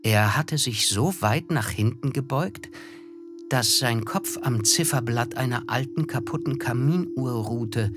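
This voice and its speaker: A man's voice: mid-range voice, measured pace. A man reading literary, scripted narration as the narrator of a radio play. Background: music.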